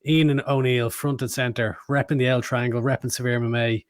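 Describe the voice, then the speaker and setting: A man's voice: mid-range voice, steady tone, brisk, no room sound, clean studio sound. An Irish man co-hosting a casual podcast, jokey and sweary. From Ireland. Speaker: podcast co-host.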